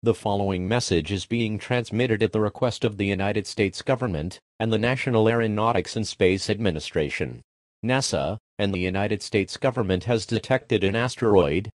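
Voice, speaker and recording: A man reading an emergency broadcast message as an alert announcer, formal and measured. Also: lowish voice, no echo, studio quality. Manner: formal, measured official delivery.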